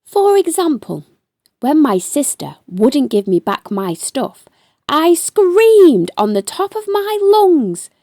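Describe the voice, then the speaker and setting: A woman narrates a children's storybook in a slow, highly varied voice. Her tone is soft-edged and mellow, and she stretches words for emphasis. The recording is close and dry. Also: dry recording. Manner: animated, deliberate storytelling with drawn-out emphasis. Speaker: storytime host reading a children's book aloud. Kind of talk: expressive children's read-aloud narration.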